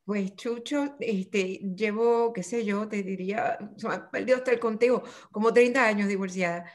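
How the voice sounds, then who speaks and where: A woman's voice: medium pitch, normal speed. Older Puerto Rican woman, interview guest, reflective, restarts her phrases. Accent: Puerto Rican Spanish. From Puerto Rico. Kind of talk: remote interview conversation.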